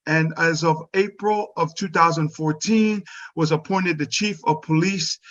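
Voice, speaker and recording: A man with a slightly high voice hosts an interview show. His delivery is effusive and gracious, with hesitant pauses. The sound is a bit dull and lo-fi. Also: a bit bright. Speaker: show host. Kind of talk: radio show introduction and interview. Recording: dull lo-fi audio.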